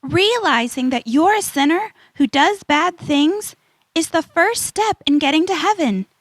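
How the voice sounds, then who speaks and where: A woman playing an earnest young adventurer girl in a children's audio drama, instructive and deliberate. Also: sits high, animated, no echo.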